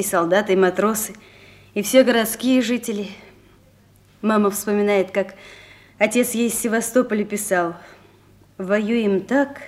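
A woman's voice: medium pitch, darkish tone, lightly acted. An actress playing an earnest young woman, a fallen sailor's daughter, in a radio play. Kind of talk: Russian radio play acting.

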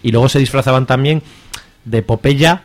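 A man's voice: medium pitch, normal speed. A talkative man with an accent from Spain, chatting casually on the radio about his hobby in a colloquial, jokey way. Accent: Spanish from Spain. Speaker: radio show contributor reviewing comics and fanzines.

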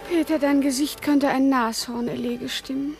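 A pert, teasing seventeen-year-old girl answers tartly in a radio play. Her voice sits on the high side over faint background music. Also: steady tone, moderate pace.

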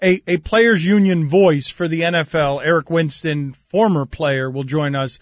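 A man's voice: a little high, a little slow, no echo. A man hosting a sports talk radio show, chatting wryly and reading listener texts from a studio, heard as a dull lo-fi broadcast. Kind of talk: casual studio banter.

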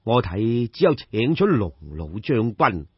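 A man with a Cantonese accent voicing a composed, shrewd court adviser of ancient China, measured and deferential, in an audio story. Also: medium pitch, big pitch swings, breathy edge, dry recording, dull audio, voice pushed down, heavily acted.

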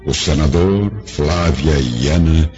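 A man's voice: a bass voice, takes it slowly. A man reading scripted, solemn narration for a radio drama. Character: solemn male narrator of a biblical-era drama. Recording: dull lo-fi recording, music behind.

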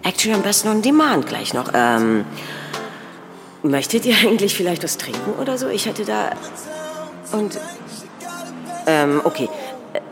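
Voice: mid-range voice, very animated, normal speed, creaky, long pauses, over music. A performer playing an anxious, searching actor in an insistent, questioning spoken-word monologue, full of repetition. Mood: distracted. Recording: long reverberant hall.

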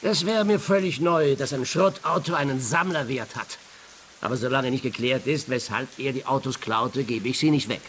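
An actor playing a baffled, grumbling scrapyard owner in a radio play, puzzled and protesting. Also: male voice, mid-range voice, normal speed, a bit bright, close to an everyday voice.

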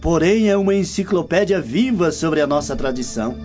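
A man's voice: fairly high pitch, animated, a little fast, darkish tone, close to an everyday voice. An actor playing a flamboyant, enthusiastic Roman nobleman in a radio drama, with a theatrical manner. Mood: admiring. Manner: effusive, theatrical. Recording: dull lo-fi audio with music.

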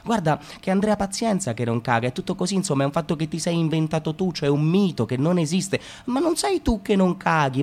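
Man performing a biting radio monologue. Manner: sarcastic, swinging between loud outbursts and low mutters. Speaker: stage reader. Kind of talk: radio theatre reading.

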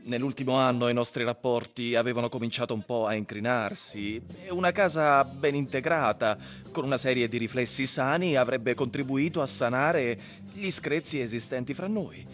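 An actor plays a wry husband narrating his own tale in a literary radio drama. His voice sits in a middle male range and moves in measured storytelling phrases. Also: mid-range voice, fairly bright tone, close to an everyday voice. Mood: wry, reflective.

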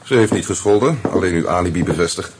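An actor playing a composed, sardonic police commissioner in a radio detective play. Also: male voice, low-pitched, lively intonation, fast talker. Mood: dry, probing. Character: dry, unhurried male police commissioner probing a suspect. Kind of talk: Dutch radio play dialogue. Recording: very noisy old radio recording with clicks.

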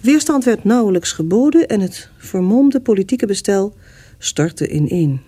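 A woman giving scripted historical narration for a radio drama in a measured, formal storytelling manner. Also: medium pitch, animated, dark tone, slightly rough.

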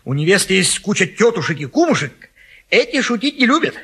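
An excitable, high-pitched, pushy friend. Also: male voice, a little fast, slightly rough, exaggerated voice. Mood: bustling, eager. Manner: insistent, theatrical, cajoling and scolding. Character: pushy, excitable male friend bullying a bachelor into marriage. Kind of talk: classic Russian stage comedy acting.

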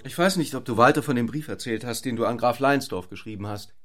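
A man's voice: mid-range voice, fast talker, soft background music, close to an everyday voice. A man playing a sceptical, intellectual friend in a radio drama. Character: sceptical, intellectual male friend of a married woman.

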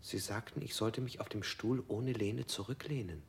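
Gravelly broken prisoner, flat and numb, half-whispered. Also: male voice, lowish voice, normal speed. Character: battered male prisoner under interrogation. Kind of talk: 1960s radio play acting.

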